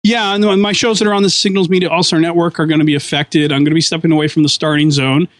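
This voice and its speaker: Man, casual podcast co-host, dry close mic, slightly high voice, earnest with corny puns. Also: level tone, quick pace, dry recording. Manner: corny dad-joke delivery, earnest.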